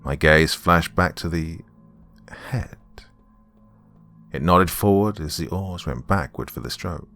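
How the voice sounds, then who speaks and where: A British man hosting and narrating a horror podcast, reading scripted material with dramatic, deliberate, emphatic phrasing. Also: deep voice, fairly bright tone, faint music behind.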